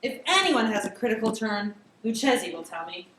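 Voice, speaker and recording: A woman narrating classic fiction in an amateur dramatic reading, theatrical, with drawn-out emphasis. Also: fairly high pitch, very animated, a bit bright. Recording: very echoey room, distant mic, faint hiss.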